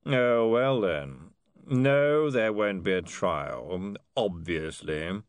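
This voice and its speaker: A man performs a blustering lord for an audiobook. He sounds pompous and baffled, repeats himself testily and swings about in pitch, recorded close and dry. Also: animated, extremely slow, dry recording, exaggerated voice. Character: baffled, testy, blustering lord, easily swayed.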